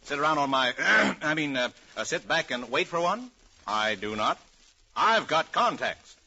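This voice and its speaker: An actor playing a blustering, boastful wheeler-dealer, rambling and self-important, in an old radio comedy. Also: male voice, fairly high pitch, lively intonation, measured pace, bright, forceful tone, full character voice. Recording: muffled old radio recording.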